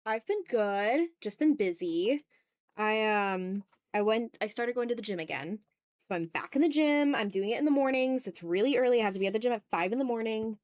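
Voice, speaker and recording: A woman's voice: fairly high pitch, lively intonation, a little fast, darkish tone. Chatty woman hosting a casual art livestream. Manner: informal, chatty, breaks off to greet viewers. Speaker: host of an art livestream, video creator. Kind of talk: casual livestream chat with viewers while drawing. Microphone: streaming mic, newly placed a little far away.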